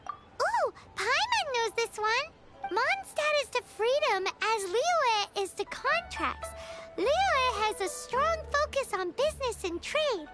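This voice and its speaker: A woman's voice: very high-pitched, exaggerated voice. A woman voicing a tiny, chirpy, childlike fairy companion in a video game, eager and chatty. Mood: proud, chirpy. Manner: eager, chatty, childlike, refers to self by name. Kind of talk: animated video game dialogue.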